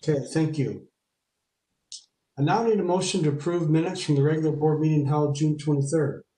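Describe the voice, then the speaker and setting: A man in his fifties chairing a board meeting on a video call, reading names slowly and calmly. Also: a little high. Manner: measured, reads names and dates slowly. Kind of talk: formal meeting procedure and memorial reading. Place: small home room. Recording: roomy video call audio.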